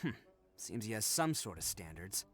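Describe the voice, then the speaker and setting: A man's voice: lowish voice, very animated, moderate pace, fairly bright tone. A man playing a proud, hot-headed young oni gang boss in a video game, cocky and theatrical. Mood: scornful, confident.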